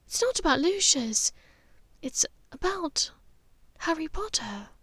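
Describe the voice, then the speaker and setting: Woman playing a soft, high-voiced troubled witch mother. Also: big pitch swings, slow, no room sound, studio quality, full character voice. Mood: gentle, grave. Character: gentle, troubled upper-class witch and mother, wistful.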